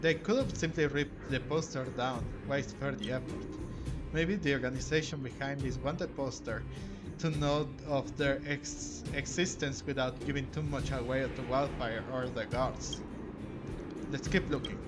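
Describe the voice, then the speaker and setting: A man's voice: mid-range voice, unhurried. A man giving casual video game commentary and reading on-screen text aloud. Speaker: gamer streaming a playthrough. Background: loud game music.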